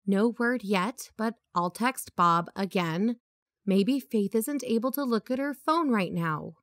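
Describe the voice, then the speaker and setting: A woman's voice: medium pitch, slow, fairly bright tone, dry recording. Practical, blunt actress as a woman co-host. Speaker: podcast co-host. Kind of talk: podcast audio drama, conversational.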